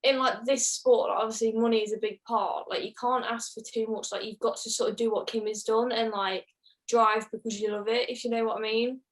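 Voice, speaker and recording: A woman's voice: on the high side, steady tone, fairly quick, poor audio. A woman chatting casually as a panellist, with loose, informal phrasing full of "like". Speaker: podcast panellist. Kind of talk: casual motorsport panel chat.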